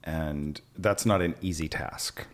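Deep voiced guy hosting a podcast, keen and conversational. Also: slow pace. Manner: enthusiastic, conversational questioning. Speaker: podcast host. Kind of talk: interview conversation.

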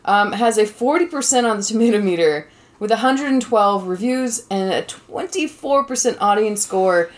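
A woman's voice: medium pitch, lively intonation, normal speed. A woman in her thirties hosting a podcast in a chatty, casual way, laughing often. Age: 30s. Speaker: podcast host and lecturer. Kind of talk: casual chat.

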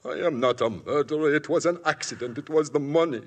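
A man's voice: fairly high pitch, fast. An actor playing a frightened refugee man who has killed someone, with a pleading, anguished delivery, in a 1950s radio drama. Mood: panicked. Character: frightened German refugee man, guilt-ridden murderer. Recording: old broadcast with faint music.

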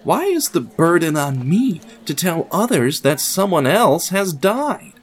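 A man's voice: a little high, sing-song, measured pace, pitched above the performer's own voice. A man playing an irritated but polite, reluctant office editor in an audio drama. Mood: aggrieved.

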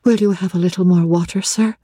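A woman voicing a composed, devoted young heroine of a classic novel, gentle yet firm. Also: on the low side, fairly even intonation, fast, no echo, clean studio sound, lightly acted. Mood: quiet, steady. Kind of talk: dramatic audiobook reading of classic literature.

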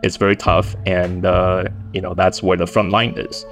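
Deep, gravelly man from Taiwan. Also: flat delivery, normal speed, over music. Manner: friendly, chatty, many fillers. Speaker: think tank director, guest. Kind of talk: interview answers.

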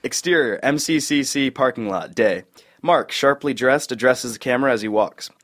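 A man with a medium-pitched voice reads out screenplay directions. It is scripted narration for a comedy table read. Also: normal speed, a bit bright. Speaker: scene direction reader.

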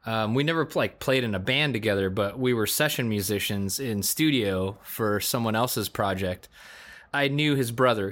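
A man's voice: on the low side, fairly bright tone. Enthusiastic man co-hosting a casual podcast. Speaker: podcast co-host. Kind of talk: casual chat.

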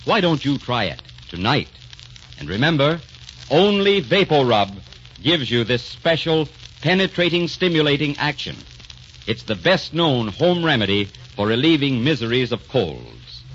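A man's voice: medium pitch, sing-song, a little slow, a bit bright. A male announcer reading a scripted 1940s radio commercial with emphatic, polished salesmanship. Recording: muffled, faintly noisy 1940s radio recording.

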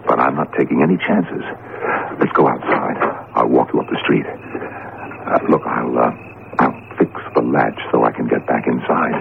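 An actor playing an anxious, excitable male professor in a 1970s radio drama, hesitant and exclaiming as he talks. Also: extremely low pitch, normal speed, creaky, exaggerated voice. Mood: uneasy, conspiratorial. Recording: noisy lo-fi radio broadcast.

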